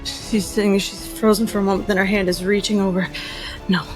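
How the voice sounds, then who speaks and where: A woman's voice: medium pitch, little pitch movement, a little fast, over music. A woman playing a tabletop game, narrating her character's actions with urgency. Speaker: player.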